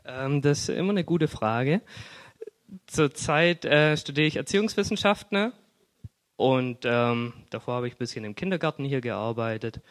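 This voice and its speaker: A young man with a Swabian accent preaching in a church hall, talking in a relaxed, chatty way with many fillers and restarts. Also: medium pitch. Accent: Swabian German. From Swabia, Germany. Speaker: guest preacher. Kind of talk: casual sermon with personal stories.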